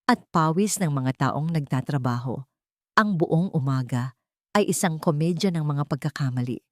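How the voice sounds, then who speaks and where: A woman's voice: sits low, darkish tone, dry recording, studio quality. A woman reading scripted narration of a sentimental love story as a storyteller.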